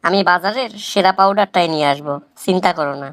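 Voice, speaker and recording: A woman playing a placating, boastful husband in a comic cartoon, coaxing and flattering with a rural Bangladeshi accent. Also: on the low side, fast, dry recording, studio quality, lightly acted. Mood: boastful, agreeable. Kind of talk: melodramatic comic cartoon dialogue.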